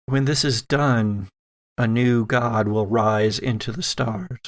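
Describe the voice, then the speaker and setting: Man playing a cryptic, slow-spoken woman guide. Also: mid-range voice, fairly even intonation. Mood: solemn. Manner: halting, cryptic, measured. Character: cryptic, calm woman guide offering grave counsel.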